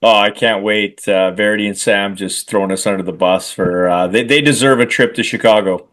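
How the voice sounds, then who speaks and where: A man's voice: fairly low pitch, steady tone, fairly quick, fairly bright tone. A man co-hosting a rugby podcast, talking casually, rambling with self-deprecating humor. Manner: rambling, many fillers and restarts, self-deprecating. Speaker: podcast co-host and rugby coach.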